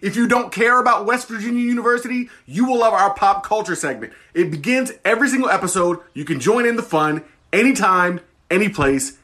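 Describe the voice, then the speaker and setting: Bright, high-pitched man reading podcast promo. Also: brisk, hardly any pauses.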